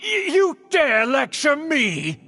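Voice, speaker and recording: A man's voice: very high-pitched, wildly swooping pitch, slow, pushed and bright, exaggerated voice. An actor playing a haughty, indignant older nobleman in an anime video game, pompous, theatrical and scolding. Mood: outraged. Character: haughty, indignant older aristocratic uncle, male, scheming villain. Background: music.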